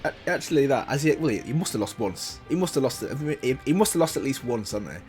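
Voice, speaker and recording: A man's voice: medium pitch, animated, quick pace, darkish tone, soft background music. A man giving casual, curious reaction commentary as a video host, often repeating himself.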